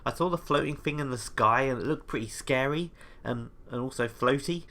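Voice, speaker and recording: A man's voice: medium pitch, a little fast, a bit bright, lightly acted. A man playing an awkward, apologetic, hesitant young intern hosting a podcast in an audio comedy. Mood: awkwardly hopeful. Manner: nervous, self-deprecating, hesitant. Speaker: podcast host. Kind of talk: scripted audio comedy drama, mock podcast.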